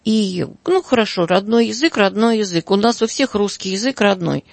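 A woman's voice: medium pitch, expressive, moderate pace, creaky, dry recording. A middle-aged woman, a school director, speaking as a guest on a radio talk show, earnest and hesitant with many fillers.

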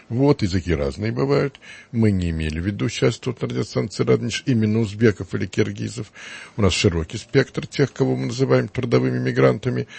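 Husky gravelly male radio host. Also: on the low side, a little mellow. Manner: measured, deliberate, many fillers. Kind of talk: live radio talk show.

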